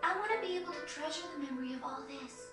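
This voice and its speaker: A woman voicing a gentle, hopeful young girl in a game cutscene, soft, wistful and sincere. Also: high voice, expressive, fast talker. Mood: gentle resolve. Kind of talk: English-dubbed game cutscene acting. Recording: very echoey, distant game capture under music.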